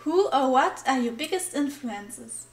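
High-voiced woman host in an echoey room. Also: expressive, measured pace, slight background noise. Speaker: channel host, interviewer. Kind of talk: scripted intro and read-out questions.

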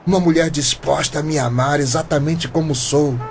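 A man's voice: on the high side, steady tone, moderate pace, gravelly, soft background music. An actor with a Brazilian accent playing an earnest, stubborn, lovestruck eighty-year-old man in a radio drama. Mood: earnest, resolute.

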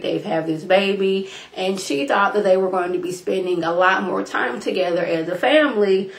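A young woman, slightly hoarse and congested from being sick, chatting casually to her webcam as she recaps a reality show, calling her viewers y'all. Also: medium pitch, roomy sound, poor audio. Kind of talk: casual recap talk to camera. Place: small room at home, indoors. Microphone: webcam at desk distance.

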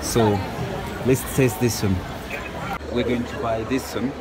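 A man's voice: medium pitch, steady tone, slow. A young man from Kenya talking casually to his phone camera as a travel vlogger while walking through a market, with many fillers. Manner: chatty, talks straight to camera, many fillers. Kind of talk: casual walking vlog narration. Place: busy indoor market and city street. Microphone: phone held at arm's length. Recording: noisy market crowd with loud music. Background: crowd chatter and music.

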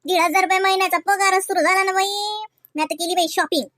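A woman voicing gossipy, excitable village housewives in a comedy cartoon, exclaiming and teasing in rustic Varhadi Marathi. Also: very high-pitched, quick pace. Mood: boastful, defiant. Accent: Varhadi Marathi from Vidarbha. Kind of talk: colloquial comic cartoon dialogue.

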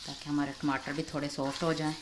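Low, flat-voiced woman over loud sizzling. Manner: calm, unhurried explaining with friendly "chalein ji" prompts. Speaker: cooking channel host. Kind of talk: step-by-step cooking instruction. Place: home kitchen, at the stove. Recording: loud frying and stirring noise behind the voice.

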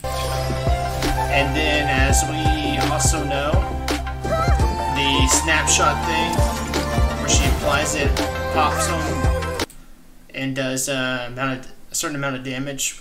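A young adult man hosting a gaming reaction, talking casually and enthusiastically in halting phrases, close to the mic. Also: medium pitch, wildly swooping pitch. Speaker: gaming channel host. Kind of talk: reaction commentary. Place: small indoor streaming room. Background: trailer music at times.

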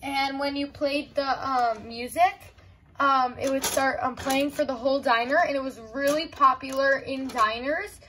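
A woman's voice: high-pitched, steady tone, measured pace, fairly bright tone. A young woman giving a casual, enthusiastic toy review in simple words, with plenty of "like" as filler. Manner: enthusiastic, simple explaining with frequent "like" and "I love". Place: small room at home.

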